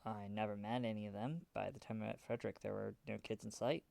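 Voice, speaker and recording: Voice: mid-range voice, steady tone, quick pace, creaky, a little mellow, dry recording. An actor playing an anxious, helpful friend in an audio drama, talking in a casual, concerned way. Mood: earnest, puzzled. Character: Sam, anxious, helpful acquaintance checking in.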